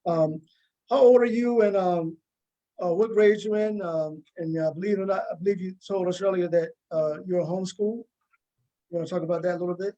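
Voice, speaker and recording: Guy hosting a casual interview show, voice on the high side. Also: steady tone, brisk, dark tone, lo-fi sound. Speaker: talk show host.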